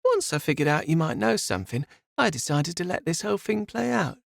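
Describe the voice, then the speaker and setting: A man's voice: on the high side, big pitch swings, fairly quick, gravelly, no room sound, studio quality, voice pushed up, exaggerated voice. A man voicing a scheming young woman who talks down to others in a cold, condescending way. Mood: mocking. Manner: condescending, sing-song.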